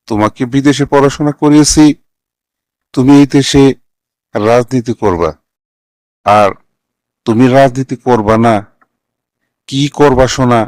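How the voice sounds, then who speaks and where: A man's voice: medium pitch, darkish tone, no room sound, natural voice. A man voicing a middle-aged, domineering politician father in a cartoon, insistent, chiding and patronising. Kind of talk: scripted cartoon dialogue.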